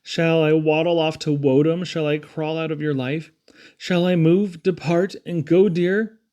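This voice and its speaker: A man's voice: fairly high pitch, steady tone, a little slow, darkish tone, pitched above the performer's own voice, close to an everyday voice. A man voicing a lovesick turtle from a children's poem, wooing in a tender, imploring, flowery way. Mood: heartbroken pleading. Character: lovesick, pleading male turtle suitor.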